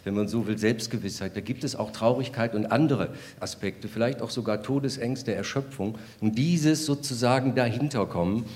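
A man's voice: medium pitch, moderate pace, few pauses, darkish tone. A man giving a reflective, explanatory interview answer as a radio drama editor, building his thought phrase by phrase.

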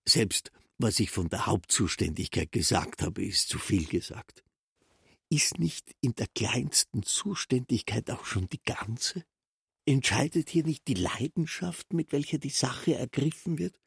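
A self-absorbed official from a radio play, rambling softly with quiet fervour. The voice is very husky and creaky, recorded dry and close. He explains at length, thoroughly pleased with himself. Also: medium pitch, no echo, full character voice. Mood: fervent. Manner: soft, self-absorbed, long-winded explaining. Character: rambling, self-satisfied male castle official, quietly fervent. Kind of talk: literary radio drama monologue.

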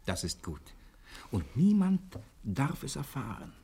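Friendly roguish sailor, very husky, lively swooping pitch, coaxing, simple hesitant phrases, TV drama. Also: male voice, measured pace, exaggerated voice. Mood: secretive, hesitant. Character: friendly, roguish male sailor on a secret errand. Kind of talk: German television drama dialogue.

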